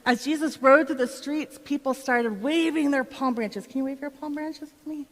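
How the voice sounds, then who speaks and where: An eager Biblical eyewitness acted by a woman tells her story in a church drama, addressing listeners directly. The voice sits a little high and rings in a large hall. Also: normal speed, close to an everyday voice. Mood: excited. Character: excited woman of Bethany, Lazarus's sister, witnessing Jesus. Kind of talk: dramatic monologue in a church performance. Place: church, live performance. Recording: reverberant hall.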